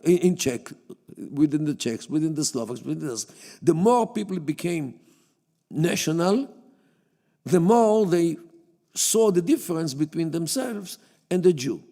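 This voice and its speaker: A man whose first language is Yiddish lectures to an audience in a synagogue hall. He speaks haltingly, with frequent fillers and restarts, in a dark, gravelly voice pitched a little above most men's. A long reverb from the hall trails each phrase. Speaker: guest lecturer. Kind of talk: public lecture.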